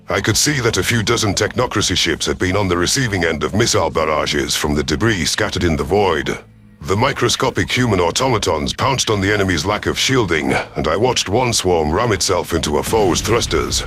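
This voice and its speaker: A man with a deep voice narrates scripted science fiction in the first person. His storytelling is formal and deliberate, in the manner of an audio story narrator. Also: fairly bright tone.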